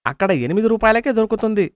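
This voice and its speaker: Guy doing a pushy shopkeeper, high and loud, teasing, dry close sound. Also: expressive, fast talker, smooth, no room sound. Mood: mocking. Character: brash, stubborn male coconut seller.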